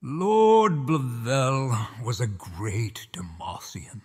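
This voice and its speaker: Husky, stately nobleman acted by a man. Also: mid-range voice, expressive, drawn-out words, heavily acted. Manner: stately, formal. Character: formal male noble eulogist, stiffly respectful. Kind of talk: audio drama eulogy. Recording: long hall reverb.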